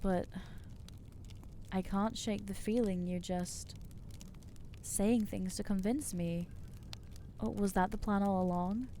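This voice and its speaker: A woman's voice: mid-range voice, fairly even intonation, slow pace, pauses often, warm tone, slight background noise, lightly acted. A woman playing an anxious young vampire girl. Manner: hesitant, self-deprecating, trailing off. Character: anxious self-loathing young female vampire. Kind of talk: ASMR audio roleplay.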